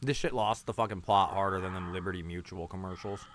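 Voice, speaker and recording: A man's voice: low-pitched, fast talker. A man hosting a livestream, giving casual, rambling reaction commentary in a blunt, sarcastic, sweary manner. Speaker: livestream host.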